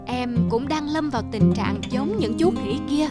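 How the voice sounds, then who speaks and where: A woman voicing a patient, gently stern middle-aged male teacher in a cartoon, measured and instructive. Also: high-pitched, animated, over loud music. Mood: patient, explaining. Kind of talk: cartoon dubbing.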